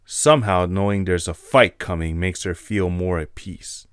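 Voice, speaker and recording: Deep-voiced male story narrator. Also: moderate pace, clean tone, no room sound, clean studio sound.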